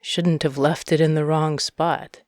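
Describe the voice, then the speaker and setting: A woman's voice: deep voice, steady tone, measured pace, darkish tone, dry recording. Flippant female space-station housekeeper, acted by a woman reader, careless and cheeky, audiobook style, very dry. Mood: muttering. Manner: flippant, casual.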